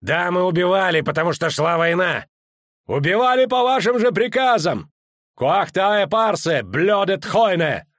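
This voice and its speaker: A man's voice: high-pitched, big pitch swings, measured pace, a bit bright, dry recording, studio quality, pitched above the performer's own voice, full character voice. A man doing an enraged defiant elf officer. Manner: snarling, cursing.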